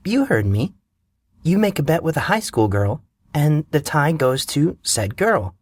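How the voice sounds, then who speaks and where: A man voicing a playful, teasing high school girl who bosses her friend around. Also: big pitch swings, a little slow, no room sound. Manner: teasing, bossy.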